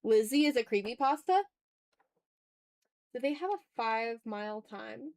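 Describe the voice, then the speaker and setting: A woman's voice: fairly high pitch, expressive, measured pace, a little mellow, dry recording. A woman hosting a casual art livestream, chatting in a playful, slangy, exclamatory way while she draws, close to the mic.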